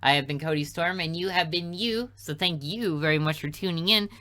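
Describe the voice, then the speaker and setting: Bright-voiced young man doing game commentary. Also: a little high, fairly quick. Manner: rambling, joking asides. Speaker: gaming video host. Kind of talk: casual playthrough commentary.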